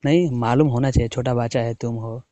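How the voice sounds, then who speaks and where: A man's voice: mid-range voice, fairly even intonation, brisk, warm tone, no room sound, dull audio. A young man from the of India, chatting casually with his wife, teasing and coaxing. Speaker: husband working away from home. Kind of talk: casual phone chat.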